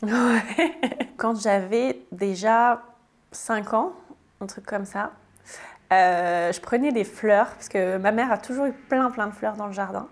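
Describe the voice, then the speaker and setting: A woman's voice: medium pitch, slow pace. A French woman in her thirties answering interview questions casually, with many hesitations and restarts. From France. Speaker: guest, tiny house builder.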